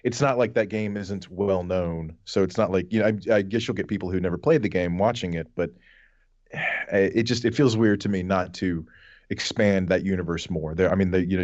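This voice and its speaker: A man's voice: low-pitched, steady tone, moderate pace, darkish tone, no room sound, dull audio. A man co-hosting a podcast in casual, blunt conversation, giving his opinions. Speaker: podcast co-host.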